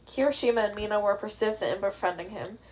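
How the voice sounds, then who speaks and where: A woman reading the lines of a tired, earnest young woman in a story, thoughtful and gently persuading a friend. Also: mid-range voice, quick pace, voice pushed down, lightly acted.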